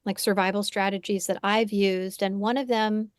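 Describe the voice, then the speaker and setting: A woman's voice: mid-range voice, level tone, normal speed, clean tone, dry recording. Woman, forties, therapist host, reflective and searching, dry close home sound. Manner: thoughtful, searching, frequent restarts and "right?". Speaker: podcast host and psychotherapist. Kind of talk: reflective conversational interview. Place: home room. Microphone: headphones on a video call.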